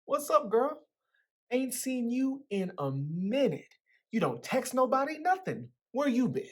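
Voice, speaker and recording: A woman's voice: a little high, very animated, unhurried. A woman playing a cocky, teasing young man with slangy, swaggering speech in a dramatic reading of a novel. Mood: playful greeting.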